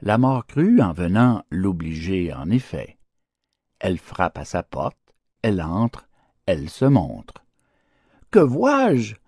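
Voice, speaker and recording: A man narrating fables as an audiobook, with declamatory, theatrical phrasing and an expressive literary delivery. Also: low-pitched, slow, breathy edge, no echo, studio quality.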